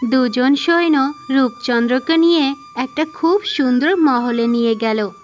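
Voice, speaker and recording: A woman's voice: high-pitched, a little slow, highs cut off. A woman reading scripted fairy-tale narration as the storyteller of a cartoon. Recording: music behind the voice.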